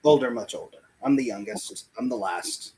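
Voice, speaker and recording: A man in his forties, born in Puerto Rico and raised in the US South, recalls his life in a casual video-call interview, pausing often and thinking aloud. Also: mid-range voice, a little mellow, breathy edge, low-quality recording. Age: 40s. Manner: slow, reflective, pauses often with "um" and "like". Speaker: interview guest. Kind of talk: casual oral history interview.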